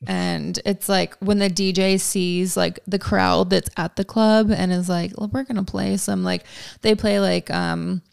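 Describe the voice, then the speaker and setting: A woman's voice: medium pitch, little pitch movement, unhurried, gravelly, darkish tone, clear, resonant tone, no room sound, clean studio sound. A young woman from Georgia with no country accent, chatting casually on a podcast with lots of ums and likes. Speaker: podcast guest. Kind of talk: casual chat.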